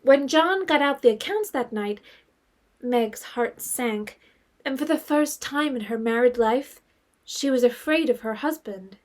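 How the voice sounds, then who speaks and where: High-voiced female literary narrator. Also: expressive, unhurried. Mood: grave, wry. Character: composed, literary female storyteller of a period novel. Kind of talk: scripted storybook narration.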